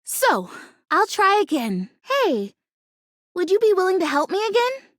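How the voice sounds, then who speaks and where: Game role: an earnest, optimistic young female houseplant, extremely high voice, lively intonation, gushing and chatty. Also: measured pace, dry recording, clean studio sound, full character voice. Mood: disappointed but resilient. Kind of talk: animated video game character acting.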